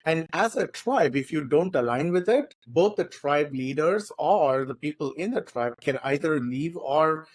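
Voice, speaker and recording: A man in his forties speaking on a podcast in an earnest, articulate, measured way. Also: on the high side, lively intonation, no room sound. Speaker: podcast co-host, tech modernization expert. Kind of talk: conversational podcast discussion.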